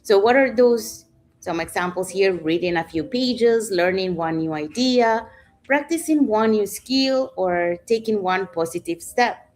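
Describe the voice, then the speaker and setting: A woman's voice: medium pitch, expressive, a little slow, a little mellow. A middle-aged woman from Panama hosting a live online panel talk, chatty, with many fillers like "you know". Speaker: host.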